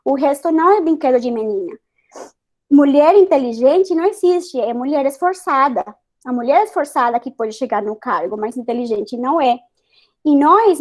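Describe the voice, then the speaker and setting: High-pitched woman, spanish accent, earnest scientist on a video call panel, lots of "é" and restarts. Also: a little mellow. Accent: Spanish-accented Portuguese. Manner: earnest, emphatic, with hesitant fillers and restarts. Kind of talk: online panel discussion.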